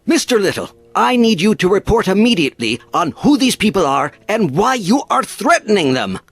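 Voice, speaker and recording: Man as a stern, husky Victorian naval captain. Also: sits high, sing-song, soft background music, heavily acted. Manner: formal, authoritative, measured. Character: stern, fair-minded 19th-century British naval captain. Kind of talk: period audio drama acting.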